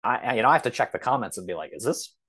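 A man's voice: mid-range voice, expressive, very fast, fairly bright tone, breathy edge. A man speaking as a podcast guest, casual and thoughtful, exploring ideas tentatively and often rephrasing. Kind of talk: casual, thoughtful interview talk.

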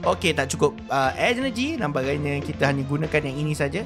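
Male gamer, Malaysian accent, high pitch, animated roleplay commentary, drawn-out words, music behind. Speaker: gaming YouTuber. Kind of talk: excited gameplay commentary with roleplay.

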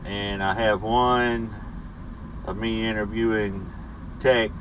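A middle-aged man who drives a truck, chatting casually in a weekly vlog with a laid-back, rambling manner full of pauses and fillers, recorded by a dashboard camera inside his cab. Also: fairly low pitch, steady tone, background noise. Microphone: camera mounted on the dashboard.

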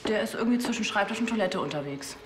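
Actress as a fast-talking female police colleague. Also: medium pitch, lively intonation, noisy background, close to an everyday voice. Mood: brisk. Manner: brisk, informal.

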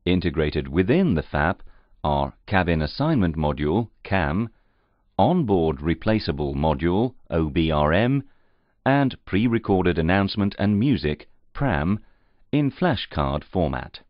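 A man reading scripted instructional narration in a formal, measured, carefully enunciated way. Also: low voice, very animated, no room sound. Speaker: training narrator. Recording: slightly muffled, dull audio.

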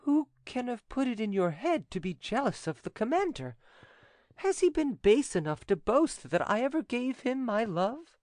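Storybook coquette played by a narrator: Frenchwoman, high voice, sweetly dramatic, feigned innocence, very close dry sound. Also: sing-song, a little slow, darkish tone, dry recording. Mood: coaxing. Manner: theatrical, emphatic protestations. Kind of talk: audiobook character dialogue.

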